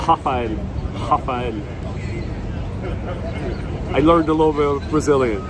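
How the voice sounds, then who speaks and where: A man from a Puerto Rican family, whose first language is Spanish, chats casually as a live-stream host, reading viewer comments aloud and answering them in a relaxed way. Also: fairly high pitch. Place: outdoors on a city street. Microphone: wireless clip-on mic. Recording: city street noise behind.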